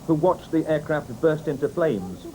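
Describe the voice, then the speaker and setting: A man with a British accent narrating a scripted news report in a sober, measured manner. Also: on the high side, animated, warm tone, a little hiss or hum, poor audio. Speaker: news correspondent.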